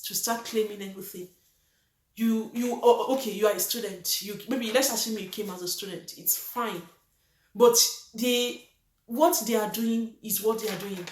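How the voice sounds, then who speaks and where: A woman's voice: a little high, a little mellow. Nigerian woman, earnest, echoey room. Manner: earnest, emphatic, repeats herself. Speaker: vlogger. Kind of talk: opinion vlog to camera. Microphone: reads from a list below the camera.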